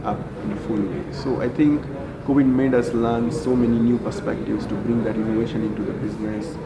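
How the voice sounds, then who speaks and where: A middle-aged man from India, a hotel group general manager, answering interview questions in an unhurried way with many fillers. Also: mid-range voice, fairly even intonation, a loud crowd. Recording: echoey room with loud ambience.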